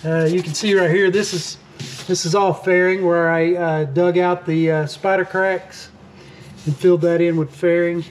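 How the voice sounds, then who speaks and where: A man narrating his boat repair as he works, in a relaxed, plainspoken way with many "uh" fillers and restarts. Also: sits high, normal speed, a little hiss or hum. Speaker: boat refit vlogger. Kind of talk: casual how-to narration while working. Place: outdoors on a sailboat deck.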